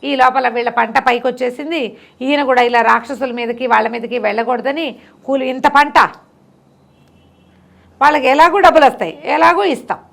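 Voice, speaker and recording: A woman storyteller with a high voice and plenty of creak in it. She tells moral tales in a conversational, instructive way, repeating words to drive a point home. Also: steady tone, normal speed, long pauses.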